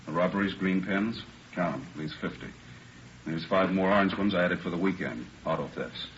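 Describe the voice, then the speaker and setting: An actor playing a brisk, businesslike police captain in a 1940s radio drama. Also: male voice, low voice, fairly bright tone, a little hiss or hum. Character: brisk police captain going over crime map. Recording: muffled old radio broadcast.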